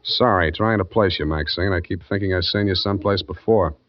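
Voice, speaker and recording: Low-voiced hardboiled American detective played by a man. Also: fairly quick, natural voice. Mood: guarded, sardonic. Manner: laconic, wry, clipped slangy delivery. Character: wry hardboiled male private detective, streetwise and tough. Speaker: lead actor and first-person narrator. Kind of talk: old-time radio detective drama acting. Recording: dull vintage radio recording with music.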